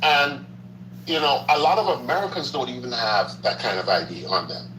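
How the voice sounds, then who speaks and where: A man with a bright, forceful voice chatting on a livestream. He hammers his points home by repeating them, with slangy asides. His voice carries a roomy echo. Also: medium pitch, very animated, moderate pace, slight background noise. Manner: emphatic and repetitive, with slangy asides. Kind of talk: casual political chat.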